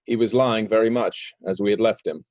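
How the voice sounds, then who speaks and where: A man narrating a story in an even, storytelling manner. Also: on the low side, brisk, no room sound, studio quality.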